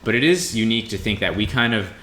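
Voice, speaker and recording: Bright-voiced young man in a roomy space. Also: on the low side, expressive, fast. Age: mid 20s. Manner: rambling, informal with mild swearing. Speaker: podcast co-host. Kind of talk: casual reflective podcast conversation. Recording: roomy echo with faint background noise.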